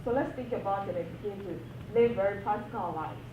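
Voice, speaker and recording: A woman's voice: mid-range voice, a little fast, heavy background noise. Impassioned woman, distant and echoey. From Ghana. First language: not English. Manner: earnest, impassioned, repeats phrases for emphasis. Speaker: panel speaker, PhD academic. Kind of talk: panel discussion remarks. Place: university event room. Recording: echoey room, distant mic, dull sound.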